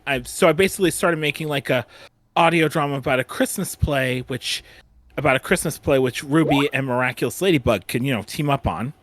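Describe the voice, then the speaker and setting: A man's voice: medium pitch, a little fast, a bit bright, no echo. A man hosting a casual fan retrospective panel, often repeating and restarting his phrases.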